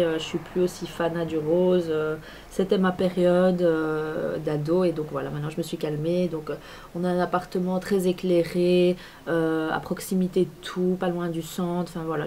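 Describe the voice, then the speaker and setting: A woman's voice: lowish voice, warm tone, a little hiss or hum. A woman, chatting to camera as a beauty vlogger, full of fillers and restarts. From Belgium. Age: 20s. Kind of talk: casual talk to camera. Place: apartment at home.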